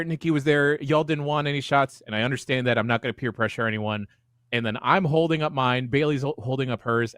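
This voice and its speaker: A man co-hosting a podcast, telling stories and bantering casually in an animated, self-deprecating way. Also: mid-range voice, dry recording, studio quality. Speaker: podcast co-host.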